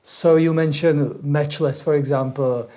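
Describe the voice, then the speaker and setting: A man's voice: on the high side, fairly even intonation, unhurried, darkish tone. A man with a Czech accent, Czech his first language, hosting a guitar video and interviewing a guest in a friendly way, laughing often. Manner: friendly, laughs often, short agreeing replies.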